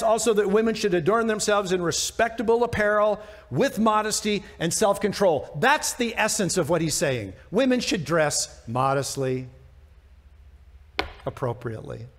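A man's voice: high-pitched, lively intonation, moderate pace. An American man in his sixties, a pastor giving an informal, emphatic, conversational Bible teaching with rhetorical questions. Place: church sanctuary stage, indoors. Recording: long reverb of a church hall.

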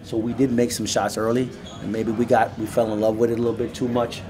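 A middle-aged man, a basketball head coach, answering reporters at a postgame press conference in a measured way, often restarting his phrases. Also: medium pitch, flat delivery, crowd noise. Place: small indoor media room. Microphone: handheld recorders held up by reporters.

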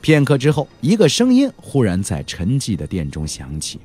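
A man narrating an audiobook in a dramatic storytelling style, expressive, with drawn-out emphasis. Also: medium pitch, breathy edge, faint music behind.

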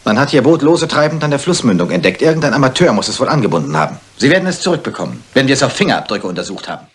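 Police inspector, husky, rapid, dry, clipped, sardonic, official, crime drama. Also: male voice, medium pitch, sing-song. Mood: matter-of-fact.

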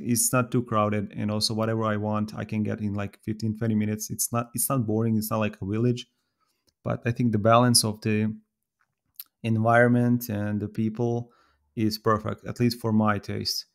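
A Serbian-accented man in his thirties with a lowish voice that stays flat and even. He talks as a podcast guest, pausing and restarting as he thinks. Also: vocal fry. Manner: thoughtful, with many fillers and restarts. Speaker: podcast guest, software developer. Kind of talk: casual podcast conversation.

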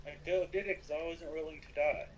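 A man talking casually and haltingly as a player in a multiplayer game. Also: on the high side, steady tone, a little mellow. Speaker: player in a Minecraft multiplayer roleplay. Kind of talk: casual gameplay chat. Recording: faintly noisy home game recording.

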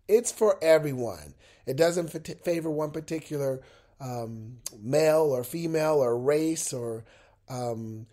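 A man's voice: on the high side, dark tone. A man with a head cold hosting a motivational podcast, talking upbeat and encouraging straight to his listeners from his bed at home. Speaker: podcast host. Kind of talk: unscripted motivational podcast monologue. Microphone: laptop with a mic.

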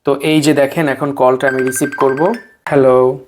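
A man walks viewers through an app like a friendly tech host. His voice sits at an ordinary middle pitch, and he talks in a loose, chatty pitch. He mixes in English words and pauses on the odd "ah". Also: level tone, a little mellow, a little hiss or hum. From Bangladesh. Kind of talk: casual product demo and sales pitch.

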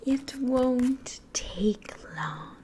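Young woman doing a sly demon lady in asmr roleplay, breathy coaxing whisper, super slow and soft, mellow tone. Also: high voice, lively intonation, a little hiss or hum, full character voice. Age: 20s.

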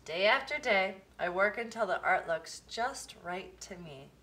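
A woman reading a children's picture book aloud, with expressive, deliberate phrasing. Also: medium pitch. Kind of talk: storybook read-aloud for children.

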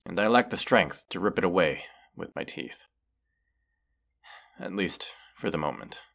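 Man voicing a deep, gravelly, haughty dragon. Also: expressive, a bit bright, heavily acted. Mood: pained, bitter. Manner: haughty, slow, deliberate, archaic phrasing. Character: proud, menacing, wounded male dragon. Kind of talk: fantasy audio roleplay, theatrical monologue.

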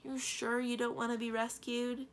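A woman's voice: a little high, flat delivery, normal speed, pitched above the performer's own voice, lightly acted. A woman voicing a polite, inexperienced young male knight in a storybook, hesitant and bewildered. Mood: crestfallen. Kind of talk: storybook character dialogue.

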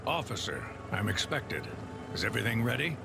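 A man playing a gruff, weary veteran police commissioner with dry wit in a video game cutscene, his voice gravelly. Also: on the low side, sing-song, brisk, music in the background. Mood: dry, businesslike.

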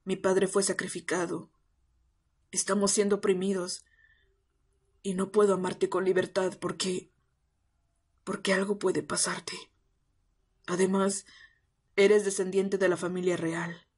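A breathy, husky young male half-vampire as a performer acts him confides in the listener. He is miserable, tearful and lovesick, in an intimate ASMR roleplay with little swing in pitch. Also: flat delivery, unhurried, pauses often. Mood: hurt, sad. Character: miserable, self-pitying half-vampire young man in love.

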